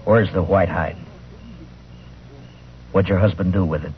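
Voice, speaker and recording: Low-voiced, terse Old West marshal. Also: male voice, fairly even intonation, unhurried, a little hiss or hum. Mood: stern questioning. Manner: terse, hard-boiled. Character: tough, weary lawman marshal of the Old West. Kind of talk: old-time radio drama acting, with first-person narration. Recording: muffled old radio recording with music.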